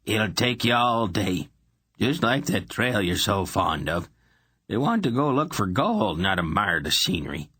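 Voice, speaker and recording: A man's voice: on the low side, big pitch swings, unhurried, pushed and bright, slightly rough, dry recording, studio quality, full character voice. A man voicing a belligerent, argumentative male miner who sneers and contradicts.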